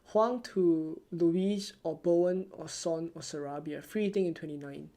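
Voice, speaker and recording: A streamer from Singapore, answering viewer questions in a live stream with a soft, low-key, almost ASMR-like delivery. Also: unhurried. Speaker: fantasy football streamer.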